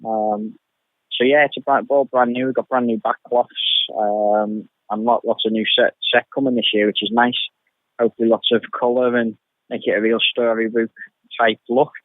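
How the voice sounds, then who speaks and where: A man's voice: mid-range voice, flat delivery, moderate pace, no room sound. A man being interviewed on radio as a show producer, talking informally and often repeating words as he restarts. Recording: thin phone-like radio interview sound.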